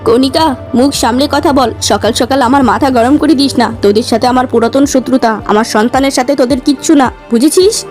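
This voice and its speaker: A woman voicing a sharp-tongued, worrying fisherman's wife in a fairy-tale cartoon, exclamatory and quick to scold. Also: high-pitched, faint music behind, lightly acted. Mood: angry, defiant.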